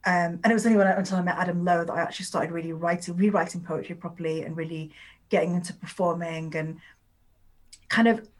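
A woman's voice: fairly low pitch, fairly even intonation, fast talker. A woman whose first language is Urdu, speaking as a poet in a conversational interview and giving long, rambling answers full of filler words.

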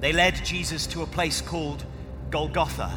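A man's voice: fairly high pitch, steady tone, moderate pace. A man giving a theatrical, declamatory dramatic reading of scripture. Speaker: scripture reader. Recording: echoing hall with loud music. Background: loud music.

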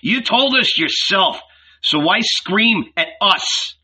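A man voicing an anxious male fisherman who pleads in an urgent, hushed way. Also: high-pitched, animated, slow pace, slightly rough, pitched above the performer's own voice, full character voice. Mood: pleading.

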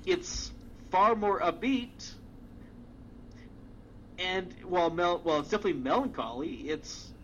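A man's voice: sits high, lively intonation, measured pace, long pauses, fairly bright tone, muffled recording, slight background noise. A man talking casually about music as a podcast co-host, rambling with many fillers and restarts and casual swearing. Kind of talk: casual chat.

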